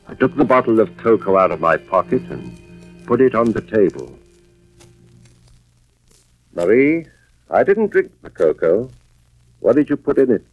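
Low-voiced, anxious husband narrating radio suspense. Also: male voice, slightly rough. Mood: urgent, pleading. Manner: measured, dramatic first-person storytelling. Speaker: narrator and lead actor. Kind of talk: 1940s-50s radio suspense acting. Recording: muffled vintage radio recording with music.

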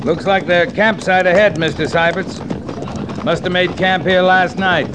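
An actor playing a dry, resigned American cavalry captain in command, in an old radio western. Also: male voice, sits high, fairly even intonation, unhurried. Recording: noisy old radio drama recording.

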